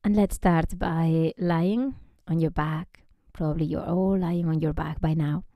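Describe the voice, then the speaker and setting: A woman yoga teacher leading a guided relaxation meditation in a gentle, unhurried way, often softening into a breathy near-whisper. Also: low voice, steady tone, no room sound, muffled recording.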